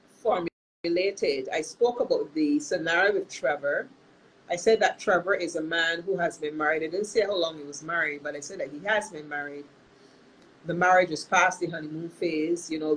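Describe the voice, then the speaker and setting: A woman hosting a live-streamed talk as a counselor, sounding nasal from illness and speaking in an earnest, measured way with frequent restarts. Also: on the low side, slight background noise, low-quality recording. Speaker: host and counselor.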